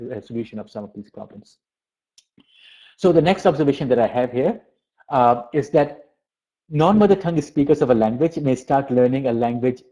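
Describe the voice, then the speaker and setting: A man who grew up speaking Urdu and English, giving an informal academic webinar lecture as a professor, haltingly, often repeating words and restarting phrases. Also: medium pitch, warm tone. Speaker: university professor of applied linguistics giving a webinar. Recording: dull, lo-fi webinar audio.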